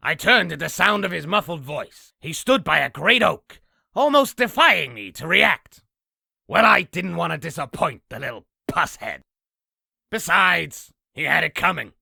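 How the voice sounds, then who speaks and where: A man voicing a boastful raspy demon, telling an exaggerated battle story theatrically for a comic dub. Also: a little high, expressive, a little slow, sharp tone, no room sound, clean studio sound, heavily acted. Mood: gloating. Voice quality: raspy, gravelly. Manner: theatrical, self-aggrandizing. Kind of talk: comic dub character narration.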